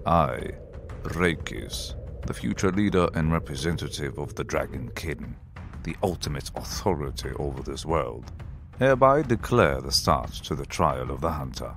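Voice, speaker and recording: A man's voice: a bass voice, lively intonation, normal speed, vocal fry, a bit bright, faint music behind, full character voice. A man playing an imperious, impatient dragon lord who presides as judge in a fantasy audio drama, making formal commanding proclamations.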